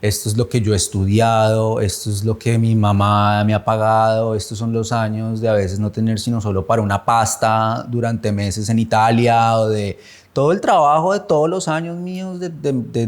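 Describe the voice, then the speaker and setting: A man in his thirties from Bogotá with a Colombian accent, giving a reflective personal testimony, measured and often searching for words. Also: lowish voice, darkish tone.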